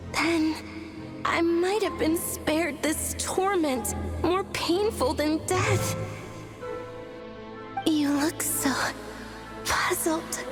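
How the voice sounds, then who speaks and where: A woman playing a dying moon goddess in a video game, solemn, poetic and tormented. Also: very high-pitched. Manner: slow, solemn, poetic delivery. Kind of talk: dramatic video game voice acting. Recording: music behind the voice.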